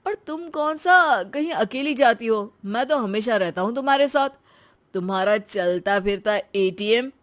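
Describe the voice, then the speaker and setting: A woman voicing a boastful, showy young husband in a cartoon story, talking in a brash, loud way. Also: a little high, expressive, measured pace. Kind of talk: cartoon dubbing.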